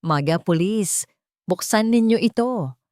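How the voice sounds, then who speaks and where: Lady doing a bossy raid cop guy in a story, barking, pitched up, dry. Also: lively intonation, unhurried, a little mellow, no room sound, natural voice. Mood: aggressive, triumphant. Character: aggressive shouting male police raid leader.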